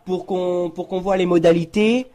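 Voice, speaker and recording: A man's voice: high voice, steady tone, moderate pace, mellow. A young man improvising a prank phone call, feigning seriousness in a hesitant but insistent way. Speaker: prank caller.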